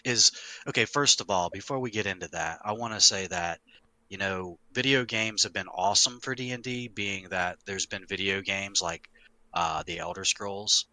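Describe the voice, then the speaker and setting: A middle-aged man talks as a podcast host with a low, very forceful, bright voice. He explains things emphatically and casually, recorded close and dry. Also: moderate pace, no echo, poor audio. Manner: emphatic, sweary, animated explainer. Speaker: podcast host, longtime game master.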